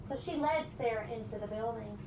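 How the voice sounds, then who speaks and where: A woman's voice: on the high side, brisk. A woman reading a picture book aloud to children and asking them questions. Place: echoing room with children present. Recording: roomy, distant mic with background noise.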